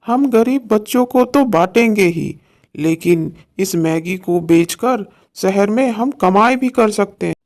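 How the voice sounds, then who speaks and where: Guy doing a kind village husband, cartoon story, slow high voice, earnest. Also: expressive. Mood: earnest, hopeful.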